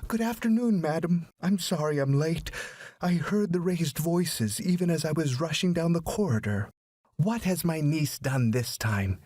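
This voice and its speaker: Man voicing a high, flustered aunt. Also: expressive, measured pace, darkish tone, no echo, studio quality. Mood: flustered, exasperated. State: panting. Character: tall plump flustered aunt arriving late at school.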